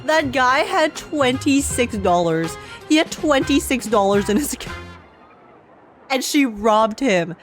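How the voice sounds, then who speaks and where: Unhurried, high-voiced young woman streaming a game. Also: faint music behind. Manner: slangy, expressive, repeats phrases for effect. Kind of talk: live gameplay reaction, casual chat.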